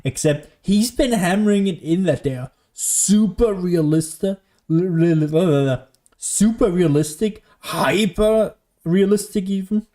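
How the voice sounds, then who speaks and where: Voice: mid-range voice, measured pace, mellow. A person giving casual, dry, joking commentary while playing through a video game. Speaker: gaming video maker.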